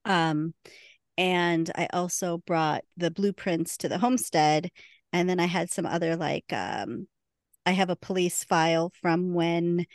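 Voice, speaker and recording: A woman's voice: fairly low pitch, no room sound, clean studio sound. A woman co-hosting a podcast, chatting casually and laughing often. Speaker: podcast co-host.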